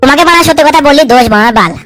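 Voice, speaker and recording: Casting: woman playing cheeky male sidekick, comedy cartoon. Very high, racing delivery, sly, swearing, argumentative. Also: animated, fairly bright tone, no room sound, soft background music. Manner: chattering, argumentative, coarse, quick with excuses. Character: wily, cheeky male sidekick and schemer, foul-mouthed. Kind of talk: comic cartoon dub dialogue.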